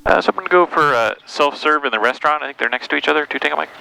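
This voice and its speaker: A man's voice: a little high, racing. A man piloting his own small plane, mixing casual cockpit chat with radio readbacks and muttered, hesitant callouts over a headset. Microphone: aviation headset. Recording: clear engine drone, aircraft cabin noise.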